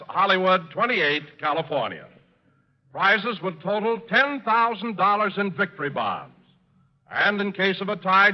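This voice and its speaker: An American man reading a scripted announcement in 1940s radio style. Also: sits high. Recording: dull 1940s radio recording.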